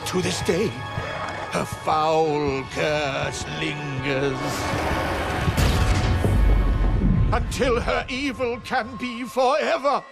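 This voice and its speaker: A man's voice: high-pitched, lively intonation, slow pace, creaky, full character voice. A man playing an ominous, theatrical storyteller in a fantasy video game, foreboding in manner. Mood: grave, dramatic. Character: ominous, theatrical storyteller of a cursed village's tragedy. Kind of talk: dramatic video game narration. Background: music.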